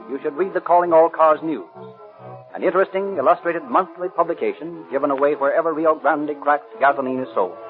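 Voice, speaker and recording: A man announcer giving a persuasive, declamatory sponsor pitch on a 1930s radio show. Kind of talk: 1930s sponsor advertisement reading. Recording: tinny lo-fi old radio recording.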